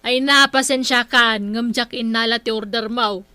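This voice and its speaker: A woman acting stubborn Ilocano village woman, high-pitched, exclaiming, indignant, close dry sound, comedy drama. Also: fairly even intonation, moderate pace, bright, forceful tone, clean tone, no room sound. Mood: firm, annoyed.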